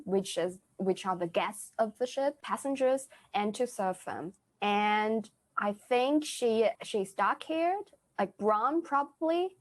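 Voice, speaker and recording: A young woman in her twenties talking casually on a podcast, earnestly improvising a playful mock product pitch. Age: 20s. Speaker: theatre group member, guest.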